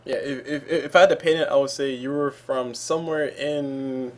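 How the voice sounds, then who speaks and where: A man's voice: mid-range voice, steady tone, moderate pace. A man hosting a casual interview, chatty and joking, laughing often. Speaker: interview host.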